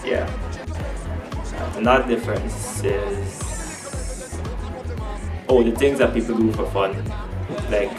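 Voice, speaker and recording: A man's voice: on the low side, slow pace, long pauses, crowd noise. Young man from Guyana, rambling and laughing, echoey distant recording, music behind. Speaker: student interviewee. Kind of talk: casual street interview.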